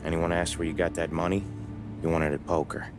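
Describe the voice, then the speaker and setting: A low-voiced gangster from a crime game, measured and quietly menacing. He speaks with calm authority as music plays clearly behind him. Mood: calm, businesslike. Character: smooth, calmly menacing male mobster, loyal Mafia don's man. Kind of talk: video game cutscene acting.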